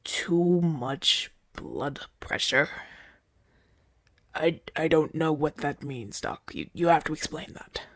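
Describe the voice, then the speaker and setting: A man playing a proud, wounded gladiator champion in an ASMR audio roleplay, speaking in a weary, halting, breathy way with gruff bluster. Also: on the high side, no echo, clean studio sound. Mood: wry, uneasy. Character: wounded male gladiator champion, brash, proud, trusting his doctor.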